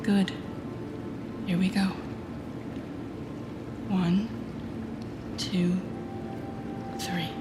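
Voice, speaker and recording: A woman playing a telepathic villain, a guarded and intense mentor with a secretly tender side, in an intimate audio roleplay with slow, breathy, deliberate delivery. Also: lowish voice, little pitch movement. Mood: soft, coaxing. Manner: slow, deliberate, often dropping into breathy near-whispers. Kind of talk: intimate audio roleplay acting addressed to the listener. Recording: roomy echo with music behind.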